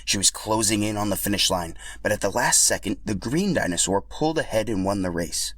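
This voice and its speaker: A man's voice: on the low side, fairly quick, fairly bright tone, dry recording. A man hosting a podcast and reading scripted narration as a storyteller.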